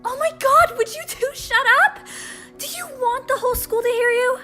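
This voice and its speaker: A woman's voice: very high-pitched, moderate pace, pushed and bright, faint music behind. A woman playing a clumsy, flustered, sweet teenage schoolgirl in a fan audio drama. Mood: embarrassed. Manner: flustered, apologetic.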